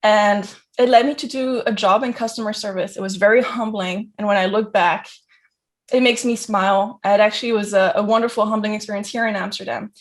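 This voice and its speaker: A young woman from Geneva, Switzerland, giving an informal autobiographical talk in an effusive, conversational manner peppered with filler phrases. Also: mid-range voice. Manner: conversational, effusive, frequent "like" and "you know". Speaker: guest speaker, crypto entrepreneur.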